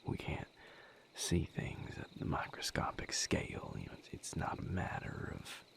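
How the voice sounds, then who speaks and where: A man playing a gentle, wry vampire healer in an intimate audio roleplay, speaking to the listener in halting, reflective phrases with a breathy, gravelly near-whisper. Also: close to an everyday voice. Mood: patient, thoughtful. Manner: halting, reflective, casually profane. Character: male vampire healer, gentle, wry, weary but content lover.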